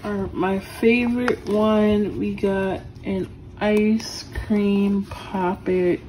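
A woman's voice: medium pitch, flat delivery, very slow, mellow, rough recording. A woman chatting casually as she hosts a toy haul vlog, recorded indoors at home. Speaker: co-host aunt showing toys. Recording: clear background household noise.

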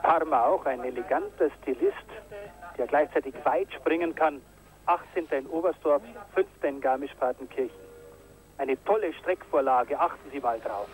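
A man giving live commentary on a ski jumping event, getting excited on big jumps, from an old broadcast tape. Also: mid-range voice, moderate pace, low-quality recording. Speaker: sports commentator.

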